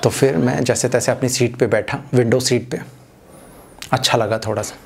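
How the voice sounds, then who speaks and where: Gravelly young man doing stand-up. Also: mid-range voice, normal speed, a little hiss or hum. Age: young adult. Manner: animated storytelling with acted-out passenger voices. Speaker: stand-up comedian. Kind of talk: stand-up comedy set. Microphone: handheld mic. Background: audience laughter.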